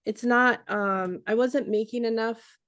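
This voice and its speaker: A woman's voice: fairly high pitch, steady tone, measured pace, fairly bright tone, clear, resonant tone, dry recording, studio quality. A woman in her forties co-hosting a podcast, chatting casually with frequent laughs and restarts. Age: 40s. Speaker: podcast co-host. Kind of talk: casual chat.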